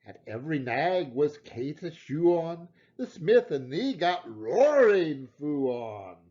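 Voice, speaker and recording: A man giving a theatrical, expressive reading of a narrative poem in Scots dialect. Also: fairly high pitch, slow, lo-fi sound. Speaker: poetry reader.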